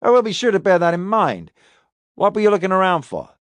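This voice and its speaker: A man performs a male police detective in an audiobook interrogation. His pitch sits on the high side and swings widely as he needles and taunts. The sound is very dry. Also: very animated, brisk, dry recording. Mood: needling. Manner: probing, taunting.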